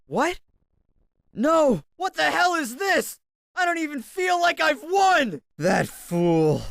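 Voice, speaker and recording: A man's voice: very high voice, sing-song, slow, gravelly, a bit bright, no room sound, studio quality, exaggerated voice. A man voicing a brash, brooding young martial-arts fighter in a video game, with terse, cocky, dramatic delivery. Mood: anguished, bitter. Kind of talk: fighting game character voice clips. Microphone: close dry studio recording.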